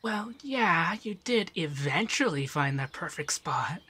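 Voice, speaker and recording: A man voicing an affectionate, teasing femboy monster companion in a soft, breathy, shyly stammering ASMR roleplay. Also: fairly high pitch, animated, measured pace, heavily acted. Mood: playful teasing.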